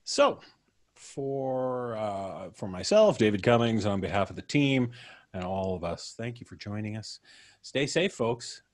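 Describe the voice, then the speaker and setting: A man's voice: fairly low pitch, very animated, unhurried, dry recording, clean studio sound. A man hosting a casual livestream, chatting with the others and signing off. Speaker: host. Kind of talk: casual livestream sign-off chat.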